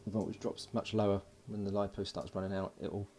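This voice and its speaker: A man giving casual hands-on commentary as an electronics hobbyist, informal and halting as he thinks aloud while working. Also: fairly low pitch, flat delivery, mellow, slight background noise. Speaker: electronics hobbyist presenting a build. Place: indoors at a workbench.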